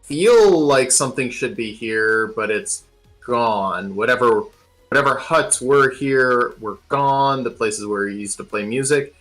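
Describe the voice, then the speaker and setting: A man improvising narration as a game master, pausing as he describes the scene. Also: mid-range voice, a bit bright, faint music behind, rough recording.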